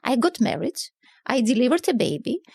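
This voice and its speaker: High-pitched Russian-accented woman, gravelly. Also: very animated, fairly quick, darkish tone, no echo, clean studio sound. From southern Russia. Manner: frequent fillers, non-native grammar. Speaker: interview guest, newspaper publisher. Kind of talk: casual conversational storytelling.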